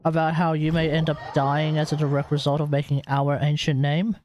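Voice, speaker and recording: A woman's voice: low-pitched, little pitch movement, brisk, a little mellow. A woman streaming a game, giving casual live commentary and musing aloud as she reacts.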